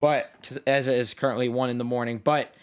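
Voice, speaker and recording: A man's voice: medium pitch, little pitch movement, a little fast, clear, resonant tone. A tired young man giving a blunt, casual post-game reaction, swearing freely as he says he is losing his voice. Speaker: sports reaction host.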